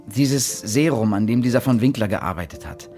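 An actor playing an intense, obsessed police investigator in a German radio drama, with an urgent, insistent manner. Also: male voice, lowish voice, lively intonation, normal speed, dark tone, soft background music, lightly acted. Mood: grimly explaining.

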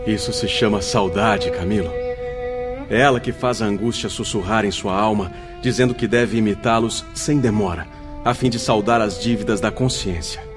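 A man's voice: on the low side, moderate pace, loud music behind the voice, natural voice. An actor playing a compassionate male spirit friend in a radio drama, speaking earnest, formal, consoling words in a Brazilian accent.

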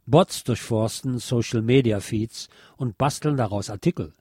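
A man narrating a scripted journalistic essay in a measured, formal read-aloud delivery. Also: mid-range voice, a little mellow, slightly rough, no echo, clean studio sound.

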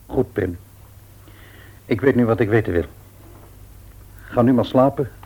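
A man playing an earnest, patient, devout middle-aged father in a radio play, with measured delivery and a rough-edged husky voice. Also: medium pitch, darkish tone, rough recording. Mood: sombre, resigned. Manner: measured, grave, deliberate. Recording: old radio play recording.

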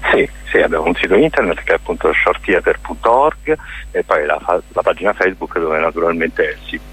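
A man's voice: sits low, brisk, fairly bright tone, husky, poor audio. A man answering as a festival director in a radio interview, discursive and hesitant as he searches for words.

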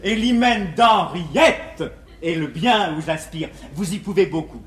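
A high-pitched, earnest young gentleman lover, declaims classical comedy with formal emphasis and some exasperation. The 1950s theatre recording is very reverberant, with faint hiss. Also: animated, measured pace. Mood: earnest, pleading. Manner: declamatory, formal, emphatic delivery of rhymed verse. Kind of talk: 1950s French classical stage comedy acting in verse.

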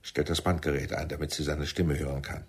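Radio-drama spymaster, low voice, husky, deliberate, persuasive, authoritative. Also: male voice, level tone, exaggerated voice. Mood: sardonic, commanding. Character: commanding middle-aged male Israeli intelligence chief, smoothly persuasive.

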